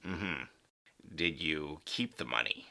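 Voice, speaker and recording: A man playing a measured, evasive school principal in an audio drama, speaking in a formal, guarded way. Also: very deep voice, lively intonation, gravelly, pushed and bright, voice pushed down, exaggerated voice. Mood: probing.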